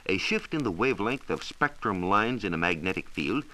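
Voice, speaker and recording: An American man presenting a scripted 1940s educational radio science talk with measured, emphatic storytelling. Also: lowish voice, lively intonation, bright, forceful tone, rough recording. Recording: hissy 1940s radio transcription recording.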